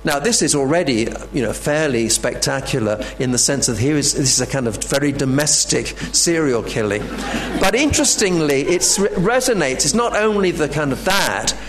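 A man giving an academic lecture, halting and full of fillers and restarts. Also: fairly high pitch, a little hiss or hum.

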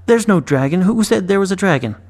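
Flustered young squire, a bit higher, storybook reading, man voicing. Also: lively intonation, brisk, a little mellow.

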